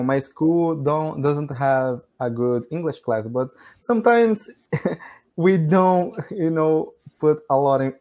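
A man's voice: fairly high pitch, darkish tone. A man in his thirties from Brazil, speaking English with a Brazilian Portuguese accent as a learner in casual conversation practice over a video call, laughing often and leaning on "you know". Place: small room at home, indoors.

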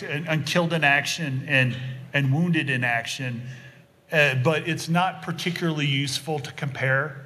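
A man's voice: fairly high pitch, pushed and bright. Man lecturing from a panel. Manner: measured, with frequent ums and restarts. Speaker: panel speaker. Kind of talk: lecture and audience Q&A. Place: auditorium stage panel table. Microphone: table microphone.

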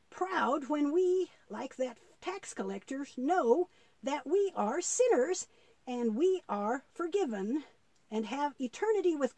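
A woman voicing a kindly old grandfather puppet, explaining things patiently and preachily in measured children's puppet-show dialogue. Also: high voice, a little mellow, dry recording, heavily acted. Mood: earnest teaching. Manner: measured, explanatory, quotes scripture.

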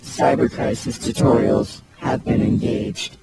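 A man voicing a cyborg system announcer in a formal, flat, scripted way for a demo. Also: measured pace, crowd noise, natural voice, low-quality recording. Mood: robotic, formal.

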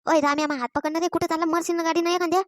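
Woman playing a high-pitched, nagging village mother. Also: little pitch movement, very fast, no echo, clean studio sound. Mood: fretting, nagging. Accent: rural Maharashtrian, Vidarbha. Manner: nagging, repetitive, complaining. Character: exasperated scolding village mother of a naughty boy. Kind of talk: Marathi cartoon comedy voice acting.

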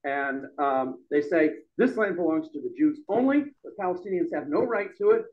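Loud high-voiced man speaking at an open mic. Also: lively intonation, moderate pace, phone-quality sound. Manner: loud, emphatic, gesturing. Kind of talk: public forum speech. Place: bar room with a small audience. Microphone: stand mic.

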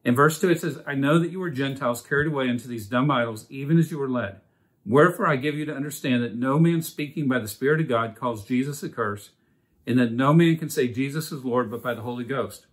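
An earnest man leading a Bible study at a measured pace. His voice sits at an ordinary middle pitch as he reads scripture aloud and explains it. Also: rough recording. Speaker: Bible teacher.

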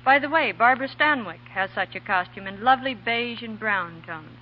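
A woman's voice: a little high, expressive, moderate pace. A woman presenting as a fashion editor on 1940s radio, reading a script formally and precisely. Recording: muffled, noisy 1940 radio broadcast.

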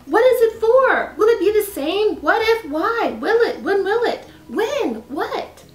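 A woman's voice: very high voice, big pitch swings, voice pushed up, heavily acted. A woman voicing an eager, insistently curious three-year-old girl in a children's storybook. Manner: eager, rapid-fire questioning. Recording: echoey room.

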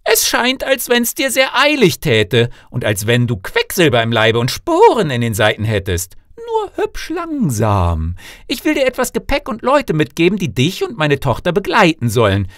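A man voicing a fussy, eager old king and father in a fairy-tale audiobook, loud and animated. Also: on the high side, moderate pace, dry recording, clean studio sound, voice pushed up. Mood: eager, blustering.

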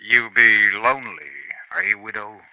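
An actor playing a scheming middle-aged highwayman and father who coaxes a widow in old country speech, in a 1950s radio drama. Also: sing-song, a little slow, gravelly, sharp tone, smooth, no echo, heavily acted, low-quality recording. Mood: coaxing. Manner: wheedling, sly rural speech. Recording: dry vintage radio recording.

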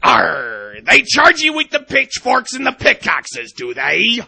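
A man's voice: very high voice, huge pitch swings, slow pace, vocal fry, pushed and bright, breathy edge, pitched above the performer's own voice, exaggerated voice. A man voicing a cocky, drunken pirate merchant in pirate slang for a comic video game parody. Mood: teasing, boastful. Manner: pirate slang, growled arrs.

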